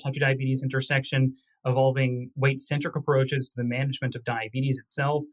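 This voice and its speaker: A man with a mid-pitched voice answers as a physician researcher in a medical interview. His phrasing is formal and technical, laid out in a measured, structured way. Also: poor audio.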